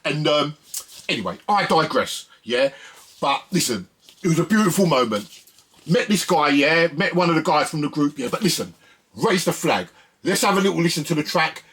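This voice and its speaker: A man's voice: on the high side, expressive, normal speed, fairly bright tone, slight background noise. A British man hosting a music channel, chatting casually and colloquially to viewers in a rambling way with frequent tag questions. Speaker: host of a music video channel. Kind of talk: casual chat to viewers about records.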